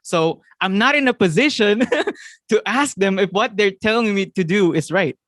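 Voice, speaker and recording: A young man with a Filipino accent giving an excited, fast motivational talk to his sales team, often asking "right?" and laughing. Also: high voice, dry recording. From Philippines. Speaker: network marketing team leader.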